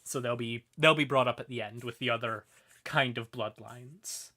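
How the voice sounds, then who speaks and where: Male livestream host, conversational and sweary lore explainer, mid-pitched voice with bright, punchy tone. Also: normal speed.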